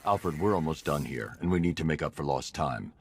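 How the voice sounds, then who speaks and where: An actor playing a stern, grim vigilante hero in a video game, terse and businesslike. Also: male voice, a bass voice, animated, fairly quick, soft background music. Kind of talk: video game cutscene acting.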